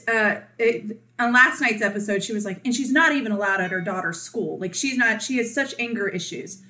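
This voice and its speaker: A woman co-hosting a podcast in casual chat, talkative and quick to laugh, often acting out what others said. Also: fairly high pitch, bright, forceful tone, reverberant. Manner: chatty, laughs often, acts out quoted voices. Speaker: podcast co-host.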